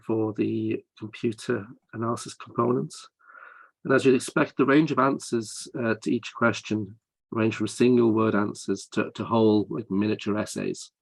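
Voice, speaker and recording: A man with a fairly low voice presents research at a conference. He walks through his methods in an explanatory way and often pauses with hesitations. The sound is dry and close, with no room echo. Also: vocal fry, slightly rough.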